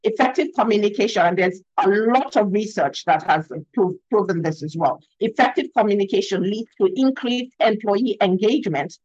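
A woman's voice: medium pitch, animated, normal speed, hardly any pauses, a little mellow, husky, no room sound. Nigerian woman, emphatic coach. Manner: earnest, emphatic, frequent "yeah?" tags. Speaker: communication expert guest. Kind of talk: video call interview. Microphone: video call. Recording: dull, slightly lo-fi dry sound.

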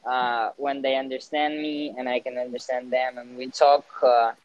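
A teenage man with a Russian accent, a student living abroad, speaking English hesitantly with many pauses and fillers. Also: medium pitch, level tone, no room sound, lo-fi sound. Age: teens. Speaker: interviewee, exchange student. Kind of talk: casual conversation.

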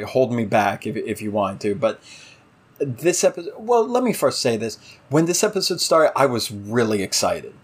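A man co-hosting a casual TV review discussion, halting and thinking aloud as he talks. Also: on the low side. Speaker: podcast co-host.

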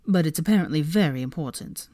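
A woman voicing a cool, dry young man with a quiet, restrained and wry manner, in a story reading. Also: low-pitched, lively intonation, quick pace, no room sound. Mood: cool, bemused.

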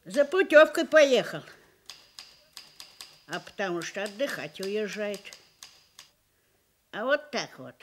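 An actress playing a plain-spoken, folksy old housekeeper in a radio play, chatty and good-naturedly grumbling. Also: female voice, medium pitch, very animated, takes it slowly, long pauses. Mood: gossipy, matter-of-fact. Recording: noisy radio play recording, typewriter clacking.

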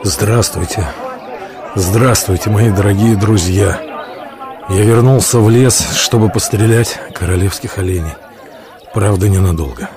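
Deep-voiced noble outlaw leader. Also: male voice, a little slow, crowd noise. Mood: joyful. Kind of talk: radio play acting.

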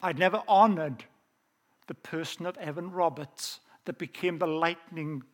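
A man with a Welsh accent telling a personal testimony in a sermon, earnest and unhurried, slowing down to dwell on key phrases. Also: on the high side. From South Wales. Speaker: preacher.

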